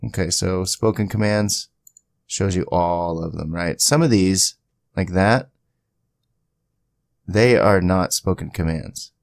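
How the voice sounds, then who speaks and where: A man giving a casual screen-capture software tutorial, thinking aloud informally as he goes. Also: low voice, takes it slowly, vocal fry, pauses often, no room sound. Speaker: tutorial host.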